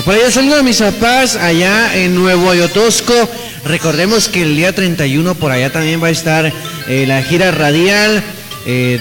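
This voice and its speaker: High-voiced upbeat radio announcer. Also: male voice, fairly bright tone. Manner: upbeat, announcing greetings and events. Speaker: radio host. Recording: reverberant, faint music behind.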